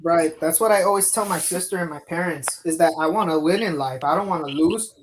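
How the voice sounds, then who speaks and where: A man's voice: on the high side, fairly quick, a little hiss or hum, rough recording. A man hosting a casual live stream chat, laid-back and swearing freely, calling people bro and dude.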